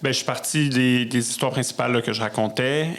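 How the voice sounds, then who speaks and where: A man's voice: medium pitch, fast, fairly bright tone. A man with a Québécois French accent talking casually as an interview guest, reflective and a little hesitant. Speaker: podcast guest, musician and storyteller.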